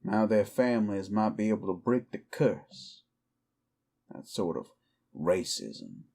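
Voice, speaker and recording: An actor playing a weary, defiant cowboy outlaw werewolf in an audio roleplay, with a folksy, brooding American Southern or Western drawl. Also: male voice, lowish voice, big pitch swings, slow, vocal fry, warm tone, husky, heavily acted. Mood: bitter, defiant. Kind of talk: slow dramatic roleplay monologue to the listener.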